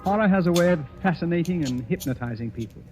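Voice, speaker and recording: Man playing pompous, mellow radio master detective. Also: a little high, animated, close to an everyday voice. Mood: smug, commanding. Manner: pompous, deliberate. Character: self-satisfied, theatrical English master detective, a knighted egotist. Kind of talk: old-time radio horror acting. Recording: muffled vintage radio recording, faint music.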